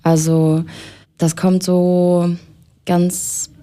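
A young woman from Erfurt speaks with a low, mellow voice in a radio studio. She is a musician giving an interview, talking in a relaxed, unhurried way with frequent fillers and small restarts. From Erfurt, Germany. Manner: relaxed, hesitant with many fillers. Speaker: musician guest.

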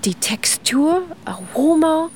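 A woman guest who explains eagerly and at length in an interview, often pausing mid-sentence to search for words. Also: high voice, lively intonation, darkish tone, slight background noise. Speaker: guest expert on ice cream history.

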